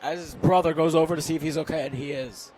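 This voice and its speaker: A young man with a hoarse, strained voice doing excitable live play-by-play commentary outdoors at a football game. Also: a little high, fast. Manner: excitable, shouts on big plays. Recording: loud outdoor stadium crowd noise.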